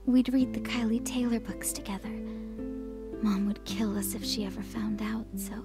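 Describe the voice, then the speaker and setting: Woman as soft, hesitant, troubled teenage girl. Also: mid-range voice, steady tone, moderate pace, lightly acted. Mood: wistful. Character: troubled, apologetic teenage girl haunted by grief. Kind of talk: visual novel voice acting. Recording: music behind the voice.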